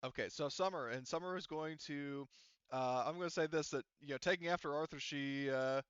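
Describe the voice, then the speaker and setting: A man narrating a tabletop roleplaying game as game master in a casual, halting way, thinking aloud as he goes. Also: on the high side, fairly bright tone, smooth, no echo, dull audio.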